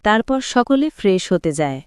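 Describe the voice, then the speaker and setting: A woman's voice: mid-range voice, sing-song, normal speed, a little mellow, a little hiss or hum. A woman giving scripted narration for a cartoon story.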